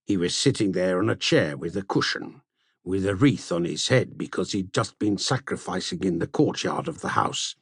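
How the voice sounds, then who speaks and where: A man narrating a literary audiobook in an expressive storytelling manner, with a gravelly, husky voice. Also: low-pitched, moderate pace, no room sound, studio quality. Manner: expressive, storytelling delivery with shifts for dialogue. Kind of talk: scripted literary narration with character dialogue.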